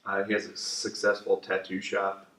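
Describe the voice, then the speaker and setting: A man's voice: low-pitched, monotone, moderate pace, fairly bright tone. A man co-hosting a podcast, chatting loosely and enthusiastically, with casual swearing. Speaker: podcast co-host. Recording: echoey room, distant mic, faint hiss.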